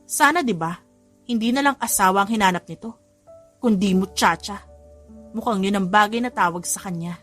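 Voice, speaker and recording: A woman's voice: medium pitch, moderate pace, long pauses, fairly bright tone. Woman narrating a story over music. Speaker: audiobook narrator. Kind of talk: scripted dramatic story narration. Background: background music.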